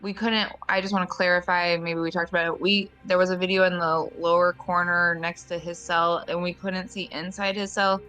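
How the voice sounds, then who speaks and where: A woman's voice: on the low side, flat delivery, moderate pace, hardly any pauses, pushed and bright, soft background music. A woman playing in a tabletop roleplaying game, asking careful clarifying questions with some hesitation. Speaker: player. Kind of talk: casual chat at a game table.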